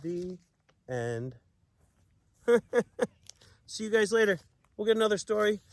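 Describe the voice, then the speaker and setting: Animated, slow-paced male storyteller. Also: sits high. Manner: playful, expressive, drawn-out delivery with exclamations. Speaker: storyteller reading a picture book aloud. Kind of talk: animated read-aloud with chatty asides to children.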